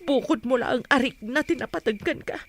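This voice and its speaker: A woman's voice: high-pitched, fairly quick, noisy background. A woman acting an aggrieved, defiant wife in a radio drama, speaking bitterly and accusingly. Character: aggrieved, defiant wife quarrelling with her husband.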